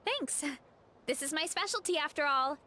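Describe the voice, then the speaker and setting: A woman's voice: high voice, animated, moderate pace, pushed and bright, slight background noise. A woman playing a modest, friendly young woman who is a champion big eater, in a game cutscene.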